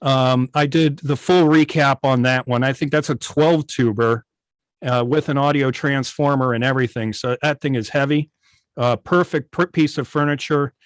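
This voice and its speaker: A man speaking as a guest on a casual video call, telling halting anecdotes like a keen hobbyist. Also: medium pitch, fairly even intonation, no echo. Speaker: guest, old-time radio station operator. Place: indoors at home on a video call.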